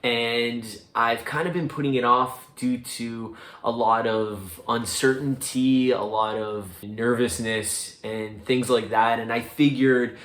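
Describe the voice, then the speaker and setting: A man's voice: medium pitch, fairly even intonation, slow, few pauses, a bit bright, roomy sound. A man with a Canadian accent talking casually and a little hesitantly, like a first-person vlog. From Mississauga, Canada. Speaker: vlogger making a first documentary.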